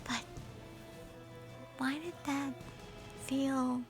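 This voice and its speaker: A woman puts on an excitable young witch in a dramatic roleplay. The voice is girlish and high, with feisty, eager delivery. Soft music runs faintly behind her. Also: very slow. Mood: puzzled, cocky.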